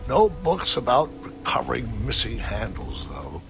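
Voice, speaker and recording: A man playing a whimsical old grandfather who tells his adventures theatrically in a storybook-style game, with a gravelly voice. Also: mid-range voice, huge pitch swings, unhurried, over music, heavily acted. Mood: wry.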